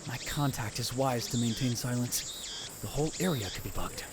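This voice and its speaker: A man voicing a dramatic young self-styled mad scientist, narrating in the first person in a hushed, grave, theatrical way. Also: medium pitch, normal speed, noisy background, close to an everyday voice. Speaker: narrator and lead in fan dub. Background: music.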